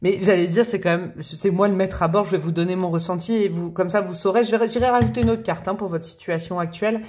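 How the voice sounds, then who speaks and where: A woman doing a casual, chatty tarot reading to camera, with expressive, playful emphasis. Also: fairly low pitch, quick pace, a little hiss or hum. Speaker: tarot reader.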